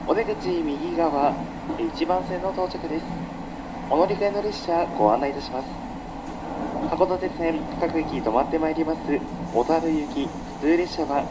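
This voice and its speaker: Male train conductor, live PA announcement, slightly high pitch, very heavy carriage noise. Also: normal speed. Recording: loud train noise over PA.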